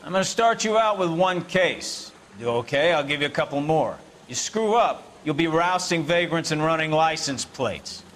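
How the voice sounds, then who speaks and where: Police captain, American male actor, slightly high voice, commanding and wry, game drama, faint noise behind. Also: fairly bright tone, natural voice. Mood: brisk, stern. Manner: commanding, blunt, sardonic. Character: brusque, joking police captain running a briefing. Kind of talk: dramatic video game acting, addressing a squad.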